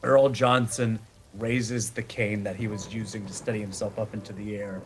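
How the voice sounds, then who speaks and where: Game master, man, slightly low voice, descriptive scene narration. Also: little pitch movement, moderate pace. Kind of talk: narrating a live tabletop game.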